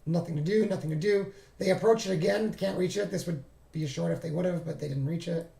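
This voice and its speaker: A man hosting a trading walkthrough who talks casually and thinks aloud, halting and correcting himself, and is losing his voice. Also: a little high, creaky, mellow, reverberant. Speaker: trading streamer. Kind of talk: casual screen-share walkthrough.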